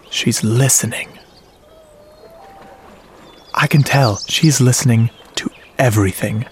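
A man's voice: medium pitch, a little mellow, a little hiss or hum. An actor playing a lovestruck, self-doubting audio geek, narrating his romance in short, confiding phrases for a radio drama. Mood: wistful, hushed.

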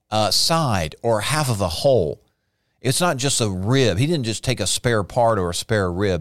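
A man's voice: on the low side, smooth, no echo, studio quality. A man teaching from the Bible in a sermon, explaining Hebrew words with emphatic bursts. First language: not Hebrew.

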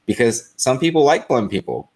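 A man with a deep, monotone voice, talking bluntly and dryly as a panelist on a casual live show. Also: normal speed, slightly rough. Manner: blunt, dry.

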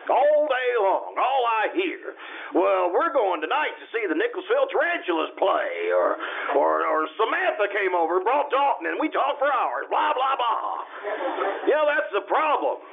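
Male actor as grumbling, overworked 1950s shopkeeper husband; high, swooping voice, muffled recording. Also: big pitch swings, creaky, exaggerated voice. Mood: exasperated. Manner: grumbling, halting. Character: fretful, overworked 1950s small-town store owner and husband. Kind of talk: old-time radio play acting. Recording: muffled, noisy amateur stage radio recording.

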